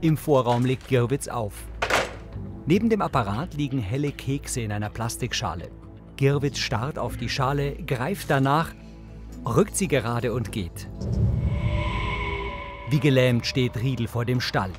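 A man narrates scenes as an audio describer. He reads in a neutral, matter-of-fact manner at a medium pitch, with music clearly behind him. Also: unhurried, pauses often. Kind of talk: scripted narration, present tense.

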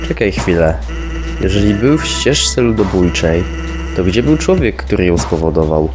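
A man playing a laid-back, slightly wary skeleton in a cartoon fan dub, speaking in a casual, low-key way. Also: sing-song, moderate pace, music in the background. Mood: questioning.

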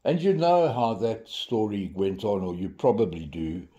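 An older man, giving an informal devotional in a deliberate storytelling manner with dramatic emphasis. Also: fairly low pitch, lively intonation, dark tone, dull audio. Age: 70s. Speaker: devotional preacher. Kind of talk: informal sermon with storytelling and scripture reading.

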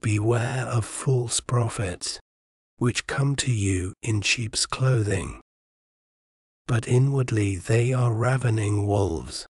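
A man reading scripture aloud in a measured, soothing bedtime manner, with long pauses between phrases. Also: lowish voice, no echo, clean studio sound. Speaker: scripture reader. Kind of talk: slow bedtime Bible reading.

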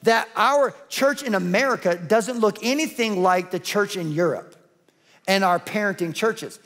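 A man's voice: high voice, measured pace, fairly bright tone. A man in his forties preaching a sermon from a large church stage on a headset mic, emphatic and building through repetition. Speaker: pastor.

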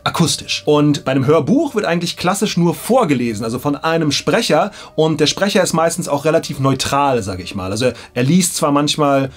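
Male presenter, thirties, slightly high husky voice, colloquial and enthusiastic, behind-the-scenes explainer. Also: animated, fairly quick, few pauses. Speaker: audiobook production presenter. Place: small indoor audio studio.

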